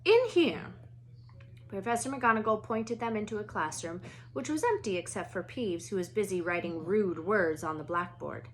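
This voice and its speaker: A woman storyteller reading a children's novel aloud in an expressive narrating style. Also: medium pitch, normal speed, slight background noise.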